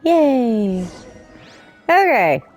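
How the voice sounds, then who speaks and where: A woman giving casual gameplay commentary and reading game text aloud, with soft-spoken asides. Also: on the high side, big pitch swings, drawn-out words, soft background music. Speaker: streamer playing a story game.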